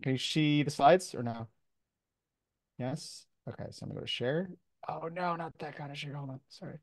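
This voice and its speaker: An American man with a somewhat high voice teaches an online class as a professor. He explains things in casual, everyday language. The sound is dry, with very little room in it. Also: moderate pace, no room sound. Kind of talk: informal online lecture. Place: video call, close dry sound.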